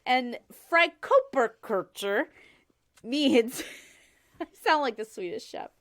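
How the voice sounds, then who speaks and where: A woman's voice: sits high, expressive, slow pace, fairly bright tone. A woman in her thirties hosting a casual chat, laughing often and explaining her research step by step. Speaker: podcast host presenting research.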